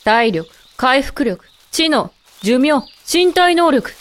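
A woman's voice: high voice, very animated, takes it slowly, exaggerated voice. A woman playing a proud, blunt pregnant werewolf in a voice drama, with brusque, self-assured, masculine wording. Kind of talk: Japanese amateur voice drama acting.

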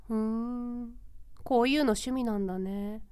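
A woman's voice: on the high side, fairly even intonation, a little slow, warm tone, clean tone, dry recording, studio quality, lightly acted. A woman playing a mischievous, carefree young female ghost, teasing and playful, in an amateur voice drama. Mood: sly, teasing. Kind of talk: Japanese amateur voice drama acting.

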